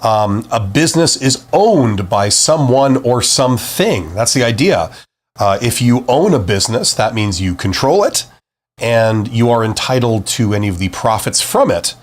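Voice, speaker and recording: A man hosts a relaxed live-stream business class while still getting over the flu, so his voice is slightly off. His pitch sits in the middle range for a man. He speaks informally to the viewers and often pauses with "uh". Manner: relaxed, talks directly to viewers with frequent "uh" fillers. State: recovering from flu, voice a bit off. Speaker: host and business teacher. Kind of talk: casual live-stream lecture.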